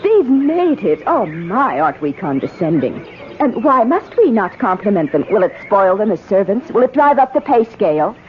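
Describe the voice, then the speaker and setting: Outspoken, self-assured expedition woman played by an actress, medium pitch with very lively swings, theatrical old radio drama, muffled sound. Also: normal speed, a little hiss or hum, natural voice. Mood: indignant. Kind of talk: 1970s American radio drama acting.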